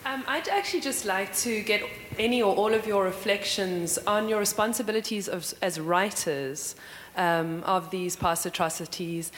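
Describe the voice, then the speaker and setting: A woman in the audience politely asking the panel a question. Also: fairly low pitch. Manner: polite, careful. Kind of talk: question from the floor. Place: lecture hall audience. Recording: reverberant hall with faint room noise.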